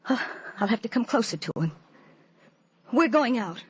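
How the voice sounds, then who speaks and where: An actress playing an obsessive, lovesick young woman in a 1940s radio suspense drama, fervent, breathy and pleading. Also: a little high, expressive, normal speed, dull audio. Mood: intense, brooding. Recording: old radio broadcast with music behind.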